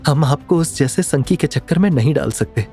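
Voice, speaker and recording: Young heroine, played by a male narrator: timid, respectful, halting, slightly high voice. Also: animated, warm tone, soft background music. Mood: worried, reluctant. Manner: trembling, halting, choked speech. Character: anxious young woman, tearful, respectful and fearful.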